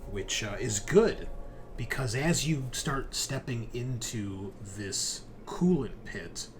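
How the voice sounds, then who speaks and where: A man's voice: medium pitch, takes it slowly, crowd noise. A man narrating a tabletop horror roleplaying game as game master, in a conversational, descriptive, improvised way.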